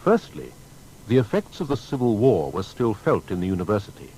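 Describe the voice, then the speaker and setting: A man narrating a scripted documentary biography in a formal, measured storytelling manner. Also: on the low side, sing-song, darkish tone, slight background noise, poor audio.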